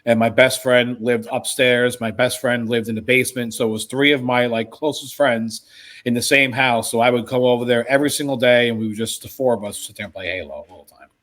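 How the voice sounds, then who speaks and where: A man's voice: medium pitch, steady tone, brisk, a bit bright. A man chatting casually and enthusiastically as a podcast panelist. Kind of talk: casual chat.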